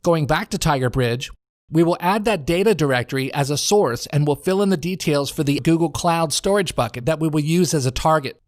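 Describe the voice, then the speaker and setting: An American man reading a scripted software tutorial as a voice-over narrator, in a measured, instructional way. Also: on the high side, no room sound, studio quality. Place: home studio.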